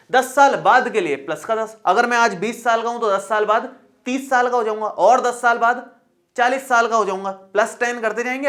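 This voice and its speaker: A man's voice: sits high, fairly bright tone. A male math teacher explaining a lesson step by step in a chatty, reassuring way, often repeating points and checking in with the viewer.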